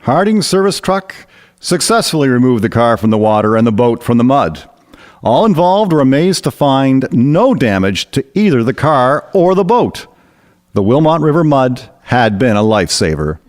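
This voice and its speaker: A man's voice: mid-range voice, big pitch swings, normal speed, a little mellow. A man narrating a scripted radio drama as storyteller.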